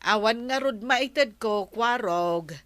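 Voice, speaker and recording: An actress playing a long-suffering, exasperated wife and auntie in a Filipino comedy drama, with an Ilocano accent. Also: female voice, fairly high pitch, slow pace, fairly bright tone, dry recording. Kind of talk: broadcast comedy drama acting.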